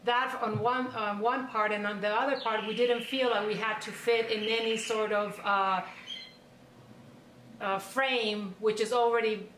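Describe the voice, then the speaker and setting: A woman's voice: fairly high pitch, fairly even intonation, a little slow, fairly bright tone. A woman from Mexico City with a Mexican accent, talking reflectively in conversation. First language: Spanish. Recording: distant, echoey recording with faint noise.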